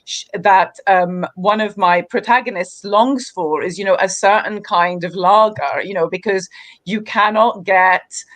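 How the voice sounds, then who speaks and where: A woman's voice: medium pitch, measured pace, a bit bright. Reflective woman author who grew up in Egypt. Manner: reflective, many fillers like "you know". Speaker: author guest. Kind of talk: livestream conversation.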